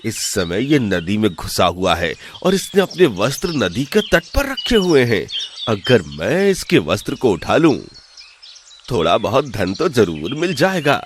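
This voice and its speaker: A man playing a sly, boastful village swindler in an animated folk tale, with a theatrical, smooth-talking delivery. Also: on the low side, measured pace, slight background noise. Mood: scheming.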